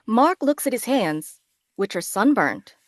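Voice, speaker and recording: A woman's voice: a little high, very animated, measured pace, no echo, studio quality. A woman narrating stage directions in a scripted table read.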